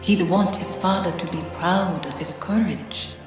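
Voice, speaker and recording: A woman voicing the ghostly apparition of a bereaved wife in a video game, stern and unflinching, with a pointed, deliberate delivery. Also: fairly low pitch, fairly even intonation, natural voice. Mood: firm, consoling. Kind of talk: dramatic video game voice acting. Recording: echoing voice over dramatic music.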